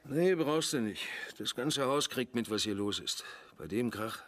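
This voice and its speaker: An actor playing an agitated, stern father in a German audio drama, halting and probing. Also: medium pitch, lively intonation, a bit bright. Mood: blunt, uneasy.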